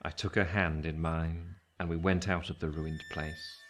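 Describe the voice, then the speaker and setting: Man, low-pitched: reflective older gentleman narrating his life in a literary radio drama, measured and wistful. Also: little pitch movement, a little hiss or hum.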